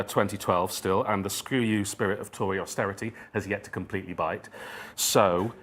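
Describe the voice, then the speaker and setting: A man giving a prepared talk, telling stories reflectively with wry, personal asides. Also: fairly low pitch. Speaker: speaker giving a talk, writer of plays.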